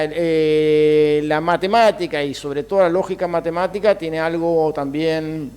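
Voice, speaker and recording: A man with an Argentine accent, a writer and teacher, answering interview questions thoughtfully, with frequent hesitations and soft chuckles. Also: fairly high pitch, clear, resonant tone. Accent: Argentine Spanish.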